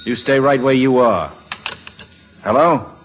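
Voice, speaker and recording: A man playing a tough, suspicious American loner with a clipped, gruff way of talking in a 1940s radio drama. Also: mid-range voice, slow pace, muffled recording, a little hiss or hum, natural voice. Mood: hard, menacing. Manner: clipped, gruff, brusque orders. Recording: old radio broadcast, long reverb.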